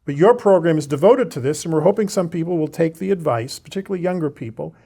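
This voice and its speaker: A man's voice: a little high, animated, vocal fry, dark tone, breathy edge. A man in his seventies, a guest expert in a studio discussion, talking emphatically and jumping in to argue his point. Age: 70s. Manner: emphatic, argumentative, quick to interject.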